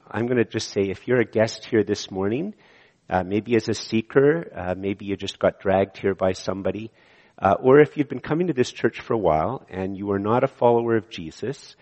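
Hoarse male pastor, low-pitched, chatty sermon full of fillers, long church reverb. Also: darkish tone, dull audio. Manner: conversational, with many fillers and restarts. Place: church sanctuary.

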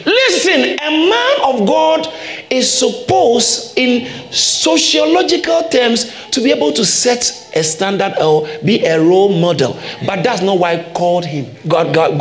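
High, lively pastor preaching. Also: male voice, roomy sound. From Ghana. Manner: emphatic preaching with repeated phrases. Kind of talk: sermon.

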